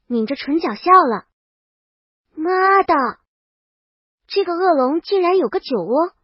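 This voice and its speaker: High-pitched woman narrating a comic story. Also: no room sound, muffled recording. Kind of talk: first-person comic scripted narration, princess heroine.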